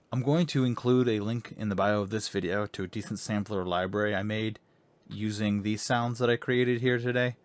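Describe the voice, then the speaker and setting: Deep-voiced man explaining his sound design. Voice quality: deep bass voice. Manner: loose, rambling explanation with many fillers. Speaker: music producer. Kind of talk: casual tutorial talk.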